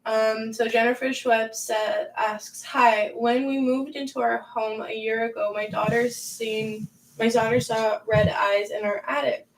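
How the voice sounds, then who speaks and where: A woman co-hosting a live stream, reading viewer questions slowly and hesitantly. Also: fairly high pitch, level tone, gravelly, distant mic, slight background noise, low-quality recording. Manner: slow, hesitant, with fillers.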